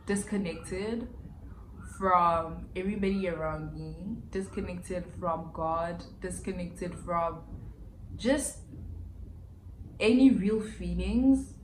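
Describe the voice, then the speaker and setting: A woman, chatting candidly and reflectively through a casual life update vlog, with slangy asides. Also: on the low side. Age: 20s. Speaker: vlogger. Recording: echoey room with faint background hiss.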